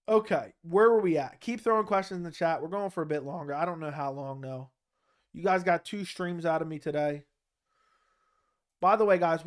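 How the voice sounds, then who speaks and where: Guy hosting a chill livestream, kinda high voice, sore throat, reads chat questions and shoots back blunt answers.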